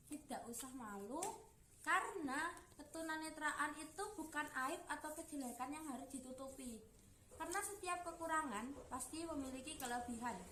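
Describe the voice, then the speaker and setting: A woman's voice: high-pitched, fairly bright tone. Young woman, echoey noisy room. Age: young adult. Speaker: university student giving a presentation. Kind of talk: informal explanatory presentation. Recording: roomy echo, clear background noise.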